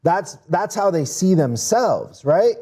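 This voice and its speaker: A man's voice: sits high, big pitch swings, slow, dark tone, slightly rough. A man who grew up in Mexico, a podcast guest talking business in an earnest, casual way and often restarting his words. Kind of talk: casual interview talk.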